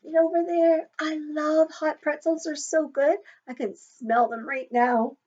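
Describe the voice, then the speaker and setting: A woman's voice: sits high, steady tone, measured pace, a little mellow. A woman teacher reading a picture book aloud to young children, encouraging them with questions and sing-song asides, on a slightly dull recording.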